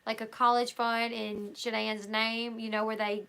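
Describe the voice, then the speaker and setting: A hoarse woman hosting a live stream, reading a book aloud and talking loosely with her chat. Her voice sits a little high and has a gravelly fry to it, and her tone is bright with an edge. She thinks out loud as she goes, with plenty of ums and restarts. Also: little pitch movement, measured pace, low-quality recording. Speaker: livestream host.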